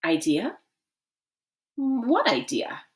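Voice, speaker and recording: A woman's voice: a little high, sing-song, drawn-out words, pitched above the performer's own voice, heavily acted. A woman voicing a cheeky schoolboy in a storybook, polite and feigning wide-eyed innocence. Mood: feigned confusion.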